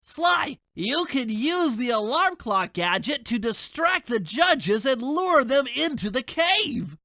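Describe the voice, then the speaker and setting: Nerdy turtle brainiac for a video game, high nasal sharp voice, wordy, super dry sound. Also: male voice, sing-song, takes it slowly, no echo, full character voice. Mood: earnest, scheming. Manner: precise, wordy, bookish. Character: nerdy brainy male turtle, small, earnest and fussy. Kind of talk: video game character voice acting.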